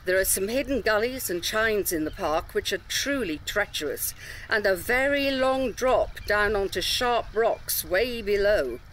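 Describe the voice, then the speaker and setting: Courteous tour guide, faint background noise. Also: female voice, mid-range voice, lively intonation, measured pace, a bit bright. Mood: instructive, cautioning. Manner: polite, instructive. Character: courteous, patient tour guide briefing day trippers. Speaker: actor in audio drama. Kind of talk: audio drama acting, public briefing.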